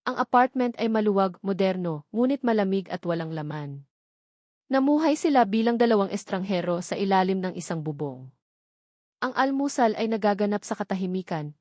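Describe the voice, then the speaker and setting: A woman's voice: mid-range voice, darkish tone, dry recording, studio quality. A woman reading scripted, dramatic love-story narration as a storyteller.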